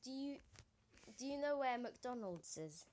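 A woman's voice: high voice, expressive, measured pace. A woman talking casually and making prank phone calls, with noise in the recording. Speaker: prank caller. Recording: noisy home phone-call recording.

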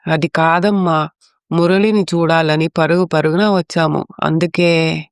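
Clear-voiced elderly mother-in-law acted by a woman. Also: low voice, steady tone, unhurried, no echo, clean studio sound. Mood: concerned. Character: elderly mother-in-law, caring but reproachful.

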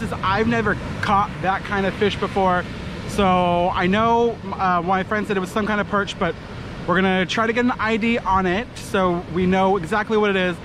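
An eager young man talks to his viewers from a sunny beach, his voice sitting fairly high for a man. He speaks from a camera strapped to his chest. Steady wind and surf noise run under him, quite clearly. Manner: upbeat, keeps saying he is super excited. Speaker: fishing vlogger. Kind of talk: casual vlog narration to camera. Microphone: chest-mounted action camera.

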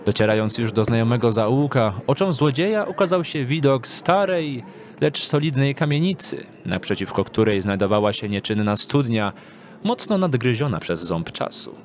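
A man narrating a scripted dark fantasy audio drama in a measured storytelling manner. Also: medium pitch, big pitch swings, a little hiss or hum.

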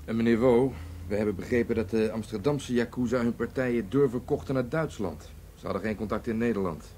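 A man's voice: medium pitch, brisk, dark tone, lightly acted. An actor playing a cool, confident undercover man posing as a drug dealer, direct and assured, in a Dutch radio play. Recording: noisy radio drama recording.

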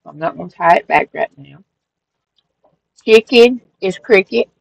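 A woman's voice: medium pitch, a little slow, gravelly, rough recording. A middle-aged woman, casually narrating a flip-through of her handmade craft journal, rambling a little and correcting herself as she goes. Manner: relaxed, rambling, with fillers and self-corrections. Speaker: crafting channel host. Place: craft room at a table.

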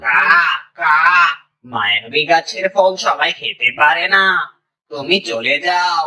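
Cartoon role: stern wise crow, extremely sharp, raised pitch, slightly off-mic over music. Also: male voice, animated, slow pace, distant mic, full character voice. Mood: stern, warning. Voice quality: cawing calls between phrases. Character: wise, stern crow guarding a magic tree. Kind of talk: Bengali moral-story cartoon voice acting.

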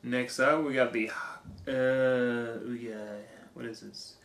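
A hoarse young man on a living room couch, casually reading off movie box features. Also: medium pitch, flat delivery, slow pace, a bit bright, slight background noise. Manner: reads off lists, offhand comments. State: hoarse, losing his voice. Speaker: vlogger. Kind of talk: casual unboxing chat. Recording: echoey room.

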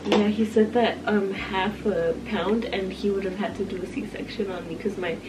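A woman's voice: medium pitch, steady tone, moderate pace, recorded from a distance, a crowd in the background. A woman in her twenties, a new mother, chatting informally with some slang. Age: 20s. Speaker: vlogger's partner and new mother. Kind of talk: casual chat. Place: small hospital room, in bed. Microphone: vlog camera held by someone else. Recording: clearly noisy room ambience.

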